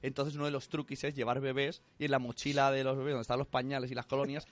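Man, podcast host, medium pitch, chatty, advice-giving. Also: level tone, fast, no room sound. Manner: chatty, gives tips, puts on voices in anecdotes. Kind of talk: casual podcast chat.

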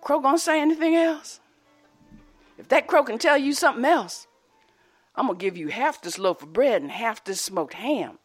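A woman voicing a jovial, burly old husband who speaks in a hearty, booming way. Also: animated, moderate pace, husky, faint music behind.

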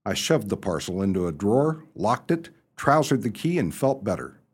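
Radio comedy lead: male actor as breezy young upper-class gent, easily rattled, swooping pitch, slightly husky. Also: sing-song, normal speed. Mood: breezy narrating. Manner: breezy, slangy, exclamatory. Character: excitable, rattled young upper-class English gentleman narrator. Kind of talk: first-person radio comedy narration and dialogue.

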